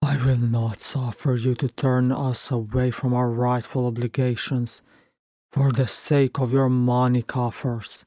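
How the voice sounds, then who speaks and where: A narrator playing a stern old king, slow-spoken. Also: medium pitch, little pitch movement, warm tone, no room sound, clean studio sound, close to an everyday voice. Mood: grave, resolute. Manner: grave, formal, commanding. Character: stern, weary old human king of fantasy realm.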